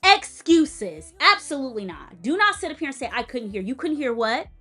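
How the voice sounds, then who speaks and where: A woman's voice: high-pitched, moderate pace, some chatter behind. A young woman music educator with a light-sounding voice, critiquing a performance in a casual, emphatic, teacherly way. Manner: animated and emphatic, stressing words and interjecting; direct, teacherly advice to the viewer.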